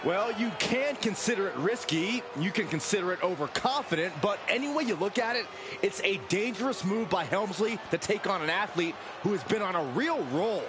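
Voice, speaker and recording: A man's voice: high voice, lively intonation, a little fast. A man playing an upbeat, supportive analyst in a scripted wrestling game commentary. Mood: keen, emphatic. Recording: loud arena noise behind. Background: arena ambience and ring thuds.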